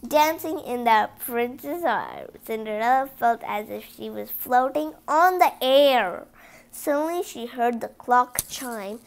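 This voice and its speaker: Woman reading a kids storybook out loud, high voice, really slow, bit stumbly. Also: lively intonation, gravelly, a little hiss or hum. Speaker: storybook reader. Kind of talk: reading a children's story aloud.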